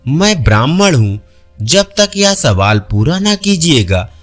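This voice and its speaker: A man's voice: a little high, sing-song, measured pace, soft background music. A man voicing a wealthy, generous older landlord in a storybook, speaking persuasively.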